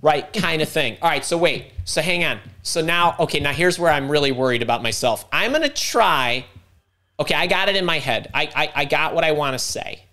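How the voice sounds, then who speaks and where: A middle-aged man hosting a live career workshop over a video call, talking fast and interrupting himself as he thinks aloud. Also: on the high side, animated, sharp tone. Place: home room indoors. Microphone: video call webcam.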